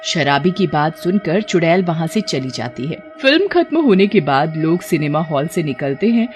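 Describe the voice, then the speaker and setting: A woman's voice: low-pitched, big pitch swings, moderate pace, a little mellow. Woman narrating over music. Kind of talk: scripted cartoon story narration. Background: background music.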